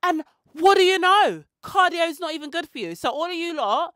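A woman's voice: extremely high pitch, expressive, normal speed, fairly bright tone, no room sound, studio quality. A woman in her forties hosting a podcast, chatting casually into a handheld mic in a small room and rambling into tangents and self-mocking asides. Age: 40s. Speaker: podcast host. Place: small indoor room with shelves and clothes rails. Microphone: handheld mic held close.

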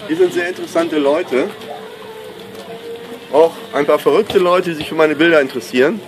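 Middle-aged man, slightly high voice, relaxed enthusiastic vlogger, outdoor camera mic with faint background noise. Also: normal speed. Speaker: pop artist vlogging. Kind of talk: casual vlog to camera. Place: outdoors at a sunny marina. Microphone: handheld camera mic.

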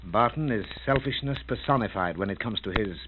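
An actor playing a brisk, shrewd investigator in a 1940s-style radio drama, clipped, probing and authoritative. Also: male voice, lowish voice, lightly acted. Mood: assured. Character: brisk, commanding British investigator, shrewd and urgent. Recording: narrow, tinny, hissy old radio recording.

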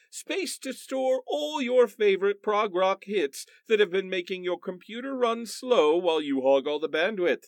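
A man's voice: sits high, dry recording, clean studio sound. A man playing a mock-dramatic announcer in a parody radio play and sponsor read.

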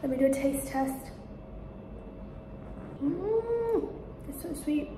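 A woman's voice: high-pitched, animated, takes it slowly, vocal fry, noisy background. Chatty young woman vlogging in an echoey room. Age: young adult. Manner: chatty, self-deprecating, rambling. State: out of breath, blocked nose. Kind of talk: casual vlog talk to camera. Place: small kitchen and bare room indoors. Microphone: phone camera propped nearby.

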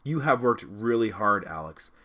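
A man voicing a gentle mother worm who calmly explains things, in a storybook read-along. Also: lowish voice, moderate pace. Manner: calm explaining.

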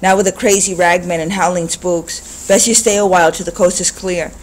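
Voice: medium pitch, fairly even intonation, a little fast, natural voice, rough recording. A reader voicing a weathered, street-wise old man with a folksy, easygoing dialect. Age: older.

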